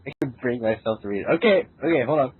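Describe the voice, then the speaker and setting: Animated, giggly reader on a tinny line. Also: medium pitch, fast. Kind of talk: casual group reading of a fan fiction, in and out of character. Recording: narrow phone-like line with background hiss.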